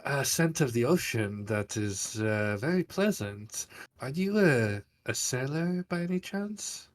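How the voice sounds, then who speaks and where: A man's voice: medium pitch, very animated, a little slow. A man voicing a charming, flirtatious sea captain lord, a playful rogue with a teasing, polite manner in a tabletop game.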